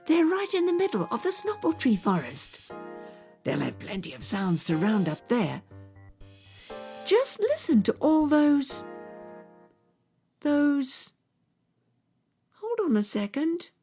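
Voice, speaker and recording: A woman's voice: sits high, wildly swooping pitch, takes it slowly, pauses often, dark tone, soft background music, heavily acted. A woman playing a dramatic storyteller who narrates a children's space adventure, theatrical and addressing listeners directly. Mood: excited. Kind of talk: scripted children's radio narration.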